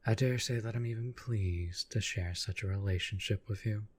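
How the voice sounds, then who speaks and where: Man voicing a deep, husky, possessive demon. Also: lightly acted. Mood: proud, devoted. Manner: formal, measured, menacing yet deferential. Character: proud, possessive, devoted demon bound to a sorcerer master. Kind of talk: scripted roleplay audio drama monologue.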